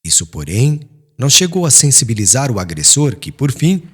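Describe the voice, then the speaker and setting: A man's voice: medium pitch, big pitch swings, normal speed, slightly rough. A man reading an audiobook as a solemn, formal and dramatic literary narration.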